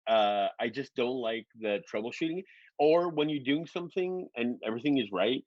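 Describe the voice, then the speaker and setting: A man's voice: medium pitch, expressive, moderate pace, dry recording, highs cut off. A man in his forties, a guest drone pilot, chatting casually and rambling, swearing freely and laughing often. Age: 40s. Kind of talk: casual chat.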